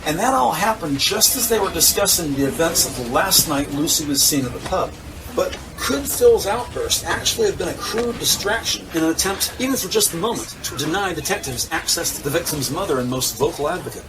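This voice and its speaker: A man's voice: fairly high pitch, animated, brisk, far from the mic, noisy background. A man reading scripted dramatic narration as the narrator of an audio drama. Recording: music bed behind.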